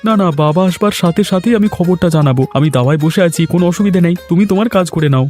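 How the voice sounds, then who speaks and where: A man's voice: high-pitched, very fast, a little mellow, faint music behind, close to an everyday voice. A man voicing an easygoing, dutiful young villager in a cartoon story. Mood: happy, eager. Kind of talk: Bengali moral-story cartoon voice acting.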